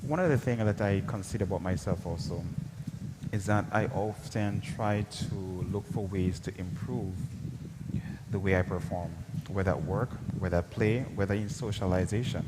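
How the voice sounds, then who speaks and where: Jamaican guy pastor, real low voice, earnest n careful, lots of ums, loud hiss behind. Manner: earnest, measured, with frequent "um" fillers. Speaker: associate pastor, guest. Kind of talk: broadcast interview. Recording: echoey hall with loud background noise.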